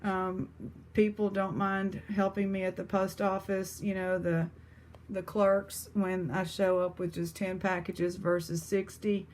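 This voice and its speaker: A woman's voice: medium pitch, level tone, unhurried, darkish tone. A woman with an East Texas accent chatting casually to her viewers as an online seller. She rambles, with lots of fillers and restarts. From East Texas, USA.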